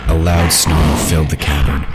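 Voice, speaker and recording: A man's voice: rumbling low, little pitch movement, moderate pace, loud music behind the voice. A man narrating a scripted fantasy audio drama. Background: ambient sound effects.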